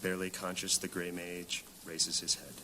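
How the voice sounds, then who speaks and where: A man's voice: deep voice, monotone, normal speed, bright, forceful tone, slight background noise. A man delivering dramatic scripted narration for a fantasy audio drama.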